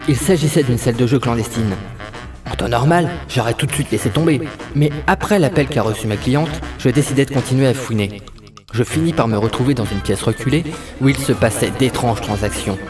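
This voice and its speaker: A man plays a hard-boiled detective of the 1930s, weary and wry but stubborn. His voice sits at an average male pitch. Music runs clearly beneath this noir audio drama. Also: a little fast, natural voice. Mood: brooding narration. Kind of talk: French audio drama, first-person noir narration and dialogue.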